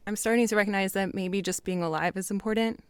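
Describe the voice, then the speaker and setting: An anxious, wry young woman played by an actress with a clear, smooth voice of average pitch. She rambles candidly and haltingly, recorded dry and close, in a naturalistic audio drama. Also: fairly even intonation, dry recording, natural voice. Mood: reflective, resigned.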